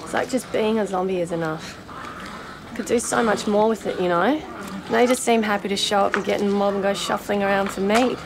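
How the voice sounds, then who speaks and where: A woman's voice: mid-range voice, steady tone, a little fast, vocal fry, noisy background, natural voice. A woman playing a jaded, opinionated vegetarian zombie in a comedy film, talking in a sardonic, sweary, conversational rant. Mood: disillusioned, earnest. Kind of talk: naturalistic short-film comedy acting, mock interview.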